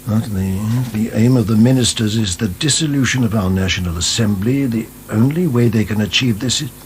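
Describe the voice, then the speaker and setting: An actor in a radio drama playing an anxious, irritable prison governor who is fretful and blustering. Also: male voice, on the low side, normal speed, natural voice. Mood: uneasy. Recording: hissy archived radio drama.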